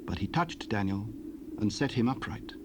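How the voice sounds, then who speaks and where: A man narrating scripture in a steady, solemn manner on a 1970s dramatized recording. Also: lowish voice, normal speed. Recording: hissy cassette tape.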